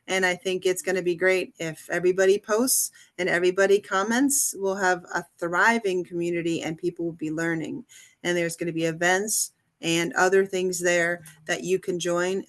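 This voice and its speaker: A woman English teacher hosting a casual interview, explaining in a relaxed way with many pauses and ums. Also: on the low side.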